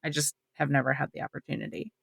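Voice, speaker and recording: Bright-voiced woman podcast co-host. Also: rumbling low, quick pace, gravelly, dry recording, dull audio. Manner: playful, jokey. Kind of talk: casual panel chat.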